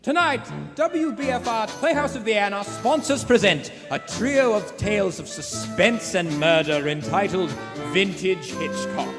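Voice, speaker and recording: A man playing an ominous 1940s radio suspense host with a theatrical, dramatic delivery. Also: fairly high pitch. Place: theater stage before live audience. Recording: echoey theater hall, music behind.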